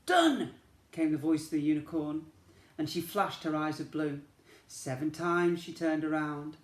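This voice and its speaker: A male teacher reading a picture book aloud to young children, gently, with asides to them. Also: fairly high pitch, reverberant.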